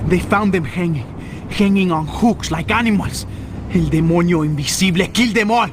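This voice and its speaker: An actor playing a jittery, volatile drug boss in a video game, with a Spanish accent. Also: male voice, high voice, normal speed, noisy background, lightly acted. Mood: desperate pleading. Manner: agitated, pleading, swings between threats and grovelling. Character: jittery, grovelling male gangland drug boss with violent temper. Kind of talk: video game cutscene acting. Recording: loud music behind.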